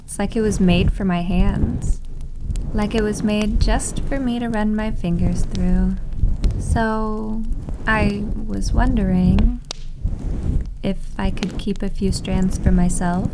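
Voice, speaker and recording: A seductive, big-sisterly maid voiced by a woman coos in a medium-pitched voice. She is syrupy, teasing and quietly possessive, in a roleplay ASMR drama with clear background noise. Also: steady tone, takes it slowly. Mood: doting, teasing.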